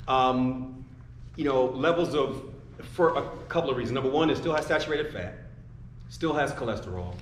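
A man's voice: mid-range voice, normal speed, slight background noise. Rambling man in an echoey store. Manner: rambling, repeats words, jokey. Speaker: panelist. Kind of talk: panel discussion answer. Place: retail sports store, indoors.

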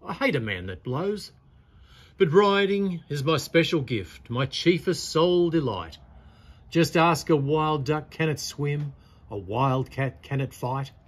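A man plays a boastful bushman from a storybook poem, full of pompous swagger. His pitch swings widely as he brags, and the voice has a slightly rough, husky edge. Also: animated, measured pace. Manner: pompous bragging. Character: boastful, cocky bushman bragging of his riding.

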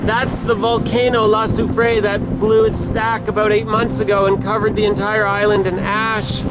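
A middle-aged woman captaining a sailboat, talking to camera in a blunt, chatty vlog style, swearing freely as she works the sails. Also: medium pitch. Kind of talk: casual vlog narration. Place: outdoors on a sailboat deck at sea. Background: loud music and wind.

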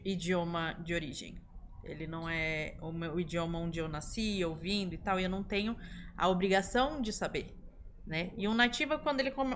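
A woman's voice: fairly low pitch, fairly bright tone, slight background noise. A woman, a language teacher, talking in a casual podcast discussion in a studio, explaining with everyday examples. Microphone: studio boom mic.

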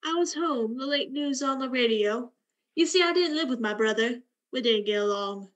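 A woman's voice: high-pitched, animated, moderate pace. A woman playing a cold, flippant, bitter young woman in a radio play, with a blunt, sardonic delivery.

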